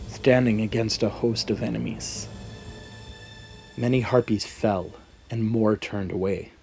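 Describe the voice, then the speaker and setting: A man's voice: lowish voice, creaky, a little mellow. A man narrating a scripted fantasy story in a dramatic storytelling manner. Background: background music.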